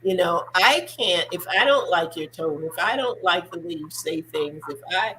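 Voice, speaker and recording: A woman's voice: lowish voice, expressive, normal speed, sharp tone, slight background noise, rough recording. Earnest woman hosting a faith talk show. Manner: earnest, repeats words for emphasis. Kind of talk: faith-based discussion.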